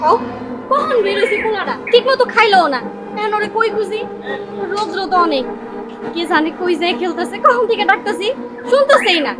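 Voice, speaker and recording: A woman acting a distraught village woman in an amateur melodrama, lamenting in a wailing, drawn-out, theatrical way. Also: very high-pitched, animated, over loud music, poor audio. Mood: anxious searching. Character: distraught village woman lamenting loudly over a lost boy. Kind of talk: amateur short-film melodrama.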